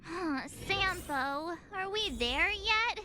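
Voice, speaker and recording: An actress playing a perky, impatient young adventurer in a video game, bubbly and sassy. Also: female voice, extremely high pitch, expressive, extremely slow, sharp tone, slight background noise, heavily acted. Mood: chirpy. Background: game music.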